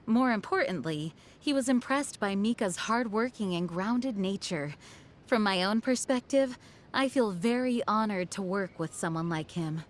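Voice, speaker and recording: A woman voicing an earnest, dutiful young knight commander in a video game, speaking in a formal, measured way. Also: mid-range voice, animated, slight background noise, natural voice. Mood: earnest resolve. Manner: formal, measured, solemn diction. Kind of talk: video game character voice lines.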